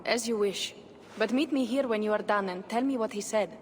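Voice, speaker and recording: A woman's voice: mid-range voice, fairly quick, lightly acted. A woman voicing a proud but self-doubting Viking shield-maiden in a video game, blunt and earnest. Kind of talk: dramatic video game acting. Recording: reverberant, with faint ambient noise.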